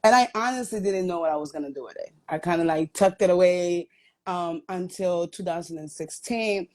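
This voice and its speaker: A woman's voice: fairly low pitch, normal speed, darkish tone. A woman from Guatemala raised in the Bronx, a guest business owner earnestly telling how her brand began. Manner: earnest, storytelling with "um" fillers. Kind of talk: telling her story in a live chat.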